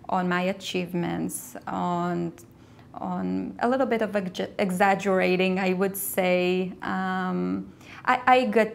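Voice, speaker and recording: A woman's voice: medium pitch, creaky. A woman interviewed about adapting her resume, speaking hesitantly with many restarts and fillers. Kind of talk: personal interview.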